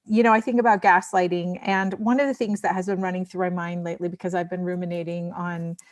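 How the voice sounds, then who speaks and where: A woman's voice: fairly low pitch, little pitch movement. A woman on a podcast panel speaking casually but emphatically, often restarting and repeating phrases to press her point. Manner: emphatic, halting restarts and repetition. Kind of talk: casual, impassioned discussion.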